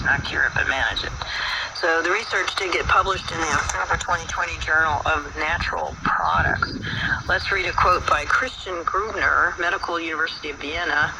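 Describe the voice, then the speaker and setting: Male radio host, higher-pitched, folksy and halting explainer, buried in loud shortwave static. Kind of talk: informal explanatory talk on a broadcast, reading quotes aloud. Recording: very noisy shortwave radio broadcast, distant.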